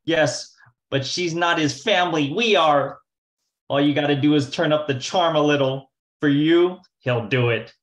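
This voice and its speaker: A wheedling young gambler in an old-time radio play, put on by an amateur actor. He talks in slangy wise-guy fashion at a middling pitch. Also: a little slow, natural voice. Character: wheedling young male gambler, scheming brother.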